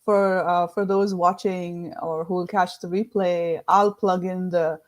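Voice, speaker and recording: A woman's voice: on the low side, level tone, unhurried. A woman from India, hosting an interview podcast in an affirming way and using many fillers. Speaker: podcast host.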